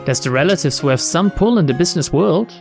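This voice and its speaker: A man's voice: on the high side, animated, quick pace, gravelly. A man hosting a game playthrough, reading the text aloud and adding casual commentary. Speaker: let's play host. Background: game music.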